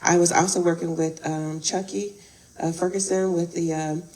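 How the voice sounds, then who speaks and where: A woman's voice: on the low side, level tone, moderate pace, darkish tone, low-quality recording. A woman raised in Chicago, chatting casually in an interview and laughing often as she recalls producing an event.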